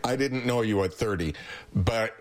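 A man's voice: fairly low pitch, moderate pace. A man of seventy, a therapist and social worker, chatting on a radio show and telling stories with plenty of chuckles. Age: 70s. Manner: chuckling storyteller, teasing. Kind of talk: casual radio chat.

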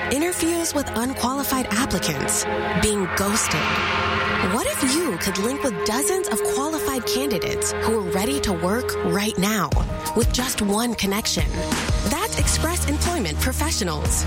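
A woman voicing a radio ad with a lively, expressive read. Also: fairly high pitch. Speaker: ad voice-over. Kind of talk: scripted radio ad. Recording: loud music bed.

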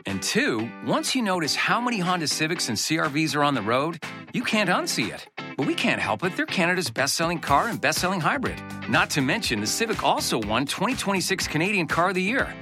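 An announcer delivers a scripted radio commercial with a tongue-in-cheek touch. The pitch swings very widely, and music plays clearly underneath. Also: very animated, a little fast.

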